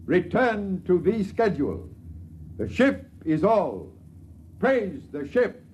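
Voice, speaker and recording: An actor playing a solemn, pompous priest who recites scripture with measured ceremony in a 1950s radio drama. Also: male voice, high-pitched, wildly swooping pitch, heavily acted. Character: solemn priest reciting the sacred book of the ship. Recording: noisy old radio recording.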